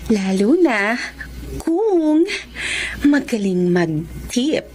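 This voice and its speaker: An actress playing a flirtatious, money-minded escort in a radio drama, coy and teasing. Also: female voice, on the high side, big pitch swings, extremely slow, darkish tone, exaggerated voice. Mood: seductive, coy. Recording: clear background noise.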